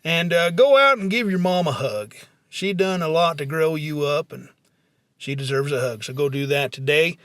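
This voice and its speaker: A man's voice: on the high side, moderate pace, a bit bright, no room sound, clean studio sound. A man, hosting a comedy podcast in casual, folksy banter with unpolished country grammar.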